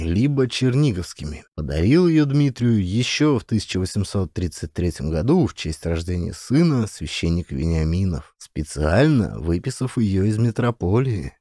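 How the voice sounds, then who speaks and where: Low, mellow male storyteller, lively intonation. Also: dry recording, clean studio sound. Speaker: audiobook narrator. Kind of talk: scripted audiobook narration of an adventure tale.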